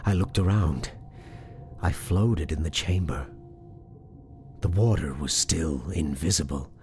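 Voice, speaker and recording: Male horror narrator, gravelly and deliberate, measured pace over a music bed. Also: very deep voice. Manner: tense, hushed, building to anguished cries. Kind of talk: dramatic horror audiobook narration.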